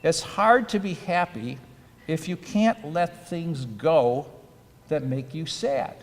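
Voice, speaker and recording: A man's voice: a little high, slow. A man as a dental school dean giving an earnest, advice-filled ceremony speech. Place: auditorium. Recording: reverberant hall.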